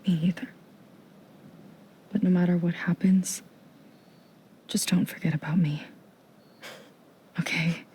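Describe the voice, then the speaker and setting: Clear ambience sits behind a young tribeswoman with a very mellow, dark-toned voice. She is soft and breathy, steadfast and tender, speaking intimately as in a game cutscene. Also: lowish voice, fairly even intonation, slow pace, pauses often, slight background noise. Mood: gentle, wistful. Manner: gentle, intimate, sincere. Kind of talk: naturalistic video game cutscene acting.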